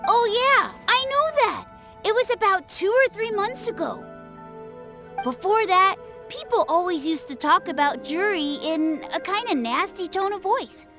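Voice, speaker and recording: Little boy, high, very lively pitch, eager, self-important, video game character, background music. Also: unhurried, exaggerated voice. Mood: chatty, earnest. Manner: childlike, bouncy, self-important. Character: eager, boastful little boy playing martial arts master. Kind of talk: scripted video game voice acting.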